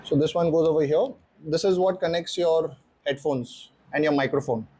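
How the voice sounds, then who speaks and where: A man's voice: fairly high pitch, fairly even intonation, moderate pace, dark tone, a little hiss or hum. A man presenting a casual vlog walkthrough, explaining things step by step. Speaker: motorcycle vlogger and presenter. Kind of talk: casual unboxing and installation walkthrough.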